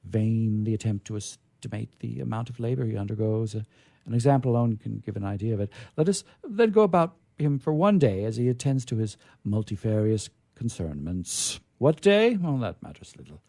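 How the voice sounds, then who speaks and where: A man reads a vintage story aloud in a theatrical, emphatic way. His pitch swings widely and his voice has a gravelly creak. He stretches phrases and pauses for dramatic weight. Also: big pitch swings, warm tone. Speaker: reader performing a literary reading. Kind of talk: dramatic narration of a vintage science fiction story.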